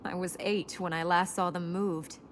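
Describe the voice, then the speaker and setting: A woman playing an earnest, dutiful young princess in a video game, matter-of-fact with a dry, gently teasing wit. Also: fairly low pitch, little pitch movement, moderate pace, natural voice. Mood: explanatory. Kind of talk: video game dialogue acting. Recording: noisy game ambience behind voice.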